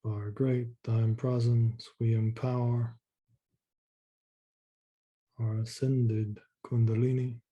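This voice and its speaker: A man leading a guided meditation in a soft, slow, calming way, with long pauses and repeated words. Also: medium pitch, husky, dull audio.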